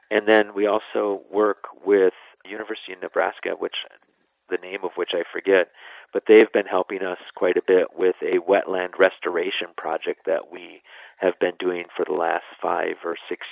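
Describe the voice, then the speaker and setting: A low-pitched man with a creaky, gravelly edge explains things as an expert guest in an interview. His phrasing is informal and sometimes trails away at the end. The sound is dry and a little dull, like a band-limited radio interview. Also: no echo. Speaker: national park superintendent, interview guest.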